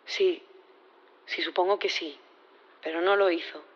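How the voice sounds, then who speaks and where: A woman's voice: on the low side, steady tone, measured pace, lo-fi sound. An actress playing a loyal, earnest woman witness in an audio drama, insistent and repeating her words as she defends her partner. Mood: earnest, defensive.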